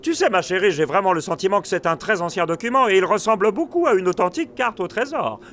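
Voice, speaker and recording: High, lively vampire dad. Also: male voice, fast, a little hiss or hum. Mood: cheerful. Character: cheerful eager vampire father, polite bank clerk. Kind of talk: cartoon voice acting. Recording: music under the voice.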